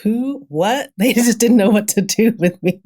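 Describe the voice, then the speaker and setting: A woman's voice: mid-range voice, a little slow. A woman speaking candidly and casually as a podcast guest, telling stories and acting out what people say. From grew up in London, then Brooklyn. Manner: candid, animated storytelling, acts out quoted remarks, often chuckles. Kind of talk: casual interview conversation.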